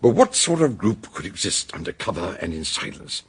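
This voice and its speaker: An actor playing an eccentric, blunt, aging detective in a radio drama, with a gravelly, husky British voice and theatrical, probing manner. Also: male voice, low voice, very animated, heavily acted. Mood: probing, exclamatory. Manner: theatrical exclamations, deliberate questioning.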